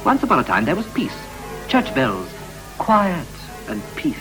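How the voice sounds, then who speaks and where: An actor playing a wistful married man reflecting on his life in a poetic 1960s radio play, with a measured, lyrical delivery. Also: on the high side, wildly swooping pitch, over music, low-quality recording. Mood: wistful, reflective. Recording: hissy, humming 1960s radio recording.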